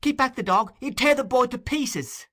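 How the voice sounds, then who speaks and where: A man's voice: very high voice, moderate pace, bright, forceful tone, voice pushed up, full character voice. A man voicing a fierce, protective young woman in an audiobook, crying out with urgent defiance. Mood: frantic defiance.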